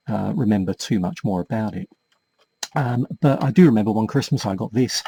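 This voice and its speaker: A man's voice: lowish voice, dark tone, breathy edge, no echo. A man in his forties giving a casual hands-on tutorial, explaining things step by step in a hesitant, conversational way. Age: 40s. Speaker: vintage toy repairer and presenter.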